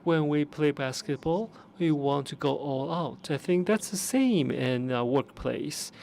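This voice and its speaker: A man giving simultaneous interpretation of conference speeches, measured and pausing often to restart. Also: medium pitch, gravelly, darkish tone, a little hiss or hum. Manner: measured, with frequent pauses and restarts.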